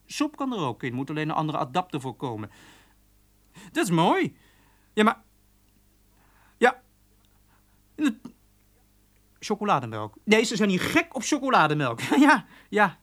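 A man's voice: on the high side, fairly bright tone. A chatty, cheeky young man at an office switchboard in a radio drama. He talks in a breezy, familiar way with a chuckle never far off, and keeps the delivery natural and conversational. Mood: breezy, businesslike. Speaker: actor in a radio play.

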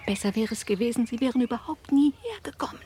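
An actress playing a secretive village nurse and midwife who warns urgently and ominously in a radio drama. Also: female voice, a little high, quick pace, a little hiss or hum, close to an everyday voice. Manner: hushed, urgent, commanding. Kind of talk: German radio drama acting.

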